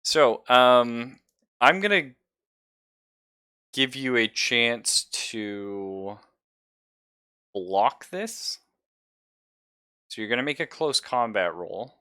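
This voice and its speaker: A man's voice: medium pitch, expressive, drawn-out words, long pauses, pushed and bright, smooth, no echo, studio quality. A man acting as game master, casually explaining rules and narrating the action of a tabletop role-playing game. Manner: explains rules conversationally, describes gory action.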